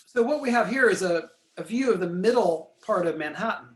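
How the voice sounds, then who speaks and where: A man giving a recorded lecture while pointing out features on a map. He is losing his voice, keeps clearing his throat and apologizes for it. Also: high voice, animated, brisk, a little hiss or hum.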